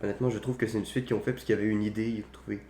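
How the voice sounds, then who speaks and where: A man's voice: fairly low pitch, little pitch movement, rapid-fire, dark tone. A young man chats casually about films, opinionated and slangy, with mild swearing. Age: young adult. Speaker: podcast co-host. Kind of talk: casual film chat. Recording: roomy echo, faint background noise.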